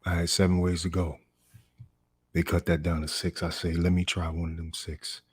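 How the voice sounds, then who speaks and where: A man's voice: sits low, completely flat delivery, moderate pace, breathy edge. A man performing a stage monologue as an embittered, defiant man determined to get ahead, in an emphatic, repetitive storytelling cadence. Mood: bitter, brooding.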